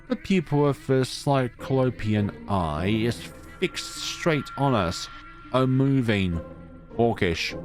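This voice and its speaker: A man reading a visual novel aloud in a dramatic way, adding asides and correcting his own stumbles. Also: fairly low pitch. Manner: theatrical, stumbles and corrects himself. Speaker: let's play host. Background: music.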